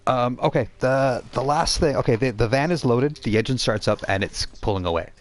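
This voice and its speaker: A man working as game master, improvising narration for a tabletop role-playing game in a halting way. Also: mid-range voice.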